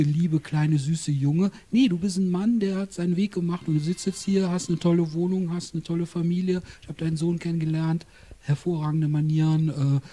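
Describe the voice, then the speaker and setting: A man's voice: fairly high pitch, mellow. A man hosting a podcast interview in a guest's apartment, chatty and rambling, with jokes along the way. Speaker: podcast host.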